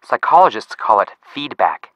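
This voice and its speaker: A man's voice: mid-range voice, very animated, moderate pace, fairly bright tone, breathy edge, no room sound. A young man with an American accent playing a cheeky, casual amateur detective who jokes as he gives video game hints. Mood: casual, helpful. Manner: joking, casual, slangy. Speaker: detective helper giving hints. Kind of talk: scripted video game voice acting.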